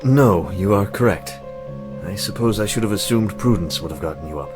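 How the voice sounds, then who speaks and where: A man's voice: on the low side, normal speed, close to an everyday voice. A man voicing a formal, condescending young graduate in a visual novel game, with stilted speech. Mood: stiff, condescending. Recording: music bed under the voice.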